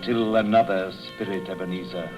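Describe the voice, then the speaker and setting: An actor playing an aging ghost, stately and portentous, in 1930s radio drama style. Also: male voice, deep voice, steady tone, moderate pace, lightly acted. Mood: solemn foreboding. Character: solemn aging male ghost, grave and fateful. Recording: old radio broadcast with music behind.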